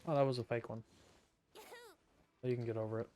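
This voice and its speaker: A man's voice: mid-range voice, steady tone, fast talker, dark tone, faint music behind. A man streaming a video game, giving casual commentary with wry, joking asides.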